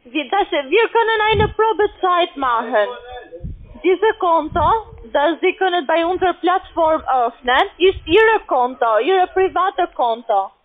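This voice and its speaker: A woman with a high voice is on a phone call as a call-centre agent. The line is thin and narrow, cutting off the top and bottom of her voice. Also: lively intonation, unhurried. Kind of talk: phone conversation. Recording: tinny, muffled phone line.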